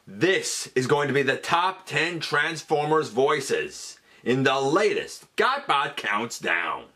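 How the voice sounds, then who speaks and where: A man's voice: mid-range voice, big pitch swings, slow, pushed and bright. A man hosting an online countdown, mixing showy announcer-like emphasis with chatty asides as he talks to viewers. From Canada. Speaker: YouTube channel host. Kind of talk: countdown video commentary to viewers.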